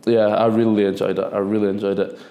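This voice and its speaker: A man in his twenties talks through his story in a loose, rambling way, circling back and repeating phrases. His voice sits low and mellow with little rise and fall. The room around him rings with noticeable echo. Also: level tone, fast talker, vocal fry. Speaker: football defender, guest. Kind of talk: casual podcast interview. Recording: echoey room.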